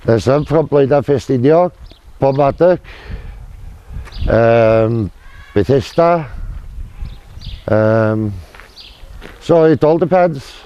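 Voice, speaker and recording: A man speaking haltingly in short phrases in an unscripted interview as a club organiser. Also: mid-range voice, clean tone, dull audio.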